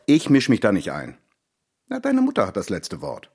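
A man's voice: on the low side, wildly swooping pitch, normal speed, a little mellow, husky, full character voice. An actor playing an evasive, cautioning father in a children's radio play. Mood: evasive, sly.

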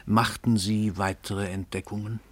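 An actor playing a world-famous, self-assured writer in a radio play, urbane and ironic, with condescending amusement. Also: male voice, on the low side, little pitch movement, unhurried. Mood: probing, amused. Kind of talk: German radio play acting.

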